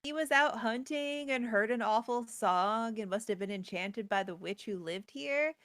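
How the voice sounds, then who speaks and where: A woman's voice: a little high, moderate pace, a bit bright, no room sound. A woman co-hosting as a storyteller, retelling a tale in a casual, playful style. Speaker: co-host and storyteller.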